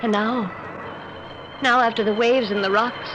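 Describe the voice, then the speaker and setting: A woman's voice: fairly high pitch, fairly even intonation, measured pace, natural voice. A woman playing an anxious, suspicious new wife in a 1940s radio drama, speaking hesitantly and faltering over her words. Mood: brooding. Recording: noisy old-time radio recording.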